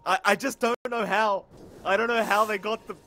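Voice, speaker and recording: Excitable high-voiced male caster. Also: moderate pace. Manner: excitable, teasing, rises sharply in big moments. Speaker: esports caster. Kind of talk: play-by-play commentary.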